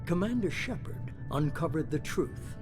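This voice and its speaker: An actor playing a commanding, calculating leader, speaking deliberately in cinematic video game dialogue. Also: male voice, fairly high pitch, very animated. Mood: grave, resolute. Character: commanding, calculating male leader of a secretive organisation. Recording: music behind the voice.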